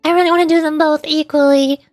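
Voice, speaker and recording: A woman's voice: high voice, steady tone, fast, darkish tone, dry recording, clean studio sound, soft background music. A woman livestreamer chatting casually over a game in a playful, theatrical way. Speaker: vtuber streamer.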